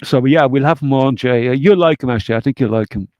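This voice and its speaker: A man's voice: mid-range voice, quick pace, a little mellow, dry recording, highs cut off. A man co-hosting a live online talk show, chatting casually and rambling, with a sniffly blocked nose. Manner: rambling, repeats words, swears casually. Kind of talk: casual live-stream chat.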